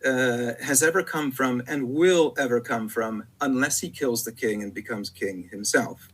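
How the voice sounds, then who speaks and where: Husky, halting male poet. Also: mid-range voice. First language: Italian. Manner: halting, many fillers and repeated words. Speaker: poet, interview guest. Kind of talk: reflective conversational interview.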